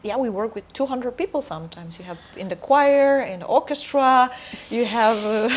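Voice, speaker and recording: A woman's voice: on the high side, moderate pace. Reflective Romanian woman, a soprano guest. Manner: reflective, hesitant, many fillers and restarts. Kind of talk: casual interview chat.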